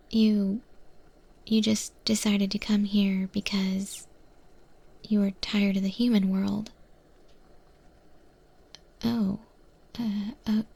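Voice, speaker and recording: A woman acts out a female void monster who runs a kitchen, wry and easygoing. Her voice is a breathy, husky whisper with a dark, relaxed tone and a gravelly fry. She takes her time, pausing thoughtfully as she mentors the listener in an ASMR roleplay. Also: mid-range voice, heavily acted. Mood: puzzled, probing.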